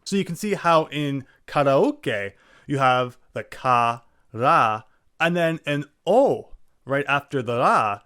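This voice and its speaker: A man teaching a language lesson, explaining clearly and sounding out syllables slowly. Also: medium pitch, lively intonation, fairly bright tone, no echo, clean studio sound. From English-speaking. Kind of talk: scripted lesson narration.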